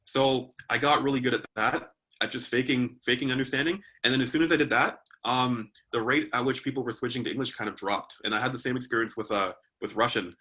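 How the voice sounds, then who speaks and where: Man, from Canada, interview guest, bright tone, thoughtful, hesitant, lots of ums. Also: medium pitch, fairly even intonation, fast, hardly any pauses, rough recording. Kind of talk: casual interview chat.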